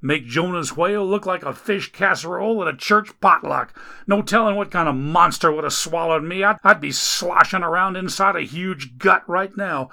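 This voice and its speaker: A man voicing a griping, foul-mouthed male rescuer who grumbles loudly in folksy slang. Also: on the high side, gravelly, voice pushed up.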